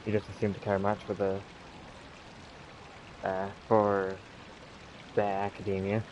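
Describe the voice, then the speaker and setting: A man's voice: on the low side, completely flat delivery, slow, a little mellow, slight background noise, poor audio. A man giving casual, offhand gameplay commentary in an informal way. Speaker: video game player commenting.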